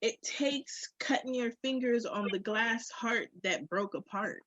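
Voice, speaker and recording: A woman's voice: a little high, measured pace, fairly bright tone, no echo, muffled recording. A woman in casual, candid conversation, speaking in an informal, reflective and emphatic way. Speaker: podcast co-host. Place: phone call.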